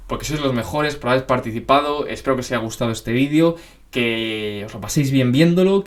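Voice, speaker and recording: A young man from Spain with a Madrid accent, hosting a casual question-and-answer video and talking in a rambling way, full of filler tags and self-corrections. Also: medium pitch. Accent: Madrid Spanish. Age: young adult. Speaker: basketball channel host. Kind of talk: casual Q&A monologue.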